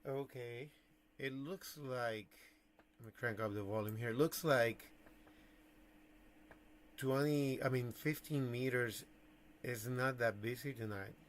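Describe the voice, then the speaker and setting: A man giving casual livestream commentary as a radio hobbyist, thinking aloud in halting phrases. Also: mid-range voice, slight background noise. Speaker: amateur radio operator, livestream host.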